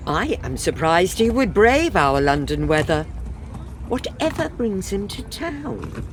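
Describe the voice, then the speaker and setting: A woman's voice: fairly low pitch, sing-song, slow, slight background noise. A woman acting a sensible, dryly exasperated middle-aged wife in a comic period farce. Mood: skeptical.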